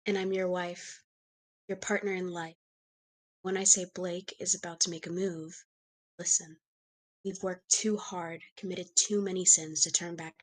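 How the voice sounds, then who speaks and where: Woman reading as a commanding, low-voiced crime family matriarch. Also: flat delivery, a little slow. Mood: cold, insistent. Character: ruthless, controlling crime family wife and mother. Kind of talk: live script table read.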